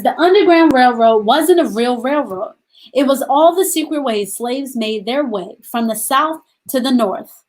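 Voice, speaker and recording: A woman with a fairly high voice reads a picture book to children at a very unhurried pace. She leaves pauses between short phrases and checks in with her listeners through questions. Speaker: storytime host reading aloud to children. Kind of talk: storybook read-aloud with questions to young listeners.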